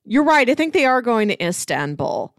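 A woman's voice: fairly high pitch, big pitch swings, moderate pace, dry recording. Woman co-host, close dry sound. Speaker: podcast co-host. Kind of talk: casual film review chat.

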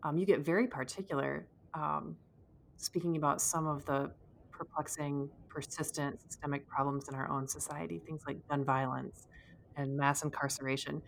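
A woman interviewing on a podcast, putting thoughtful questions together in careful, measured short phrases. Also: sits low, steady tone, vocal fry, a little hiss or hum, poor audio.

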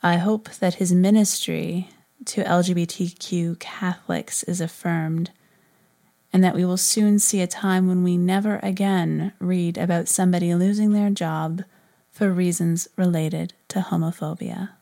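A woman's voice: on the low side, steady tone, unhurried, gravelly, warm tone. A woman, reading as a podcast host in a scripted style. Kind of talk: scripted narration, reading prayer requests.

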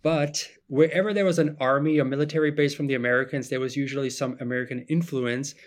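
A man, a podcast guest telling his story in a relaxed way, often restarting sentences. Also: medium pitch, fast. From Germany. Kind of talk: casual podcast interview.

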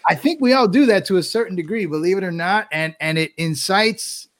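A man in a casual group chat, thinking out loud and laughing often. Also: high-pitched, animated, fairly quick.

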